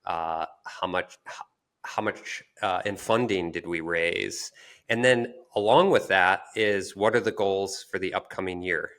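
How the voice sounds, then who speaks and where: A man with a deep voice explains his work as a podcast co-host. His delivery is conversational and measured, and he feels for the right word as he goes. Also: a bit bright.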